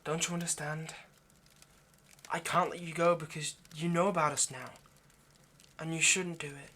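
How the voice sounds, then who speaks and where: Low-key pleading male vampire, acted by a man, noisy recording. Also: level tone, sharp tone. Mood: commanding, urgent. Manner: halting, earnest, pleading, dropping to near-whisper. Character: male vampire, ageless, possessive yet remorseful, pleading lover. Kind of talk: intimate ASMR audio roleplay monologue.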